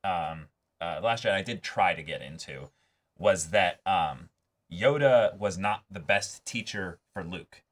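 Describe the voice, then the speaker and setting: A man with a fairly low voice co-hosts a casual reaction discussion. He explains his thoughts earnestly, circling back and repeating phrases as he goes. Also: expressive, unhurried. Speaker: reaction show co-host.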